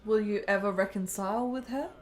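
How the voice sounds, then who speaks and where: A woman's voice: medium pitch, fairly quick, a little mellow, roomy sound, lightly acted. A woman playing a frail, sick, lovesick woman in a period radio drama, with melodramatic, intensely emotional delivery. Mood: subdued, gentle. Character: frail, sick, desperately lovesick woman, prone to hysterical wailing.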